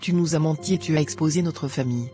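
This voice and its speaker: A woman's voice: low-pitched, fast talker, warm tone, lightly acted. A woman playing a devout middle-aged wife and mother, betrayed and indignant, in an emotional cartoon drama. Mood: shocked, reproachful. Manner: emotional, reproachful. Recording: music under the voice.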